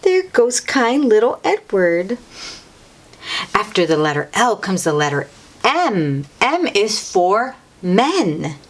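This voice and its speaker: Woman reading slowly to young children, lively pitch. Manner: teacherly, repeats and emphasizes key words, exclaims and asks the child questions. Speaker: children's storybook read-aloud host. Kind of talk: read-aloud picture book for young children with asides to the listener.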